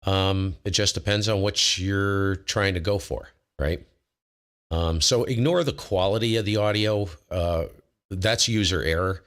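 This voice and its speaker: A man with a very low voice hosting a casual ham radio livestream, talking informally and self-deprecatingly, often wandering mid-sentence. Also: unhurried, a bit bright. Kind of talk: casual technical talk to a live chat.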